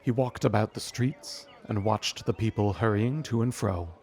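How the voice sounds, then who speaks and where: A man narrating a storybook audio drama in a measured storytelling manner. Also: fairly low pitch, darkish tone, close to an everyday voice. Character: omniscient storybook narrator of a Christmas tale.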